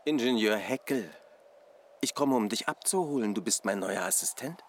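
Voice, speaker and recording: Radio drama engineer male actor, brisk and commanding, mid-range voice, faint noise. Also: mid-range voice, animated, lightly acted. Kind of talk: German radio drama acting.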